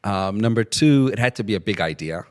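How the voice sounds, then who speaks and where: A male author with a low voice answers interview questions. He works through his points methodically, one at a time. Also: lively intonation, fairly quick, a little mellow.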